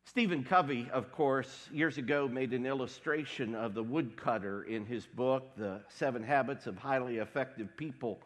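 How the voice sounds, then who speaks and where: A man preaching a sermon as pastor, congested from a cold, with a wry, deadpan humor. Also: mid-range voice, moderate pace, few pauses. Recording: long hall reverb.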